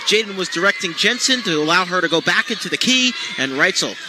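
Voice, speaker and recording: A man's voice: high voice, animated, quick pace. A man giving analytical color commentary at a live basketball game, explaining plays in detail. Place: courtside in a basketball arena. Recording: noisy live arena broadcast.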